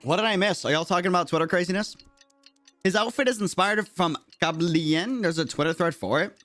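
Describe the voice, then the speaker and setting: A young man from Latin America chats on a game livestream in a somewhat high voice. He is casual and slangy, thinking aloud over faint game music. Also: brisk, a bit bright. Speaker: game streamer. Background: video game music.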